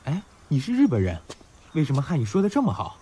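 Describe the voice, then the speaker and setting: An actor playing a righteous, kind young male university student in a radio drama, with a husky voice. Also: a little high, wildly swooping pitch, fast talker, mellow, full character voice. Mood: concerned, friendly. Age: young adult. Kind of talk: Mandarin radio drama acting.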